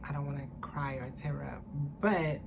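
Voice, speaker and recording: A woman's voice: low-pitched, fairly even intonation, normal speed. A young adult woman telling a story casually to camera in a candid, slangy, profane way, recorded indoors at arm's length. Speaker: vlogger. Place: indoors, small room. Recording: clearly noisy room ambience.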